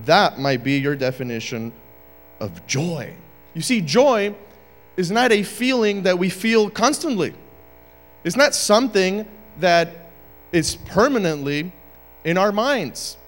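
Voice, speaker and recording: Guest preacher, male, from Uruguay, slightly high voice, playful asides that turn emphatic, long church reverb. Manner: jokey and conversational, then building to emphatic preaching. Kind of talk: sermon. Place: church sanctuary.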